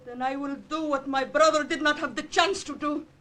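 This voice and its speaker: A woman's voice: sits high, fairly even intonation, a little fast, sharp tone. An actress playing a passionate, wounded young Italian woman partisan in an intense, melodramatic old-time radio scene. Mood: threatening. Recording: noisy vintage radio recording.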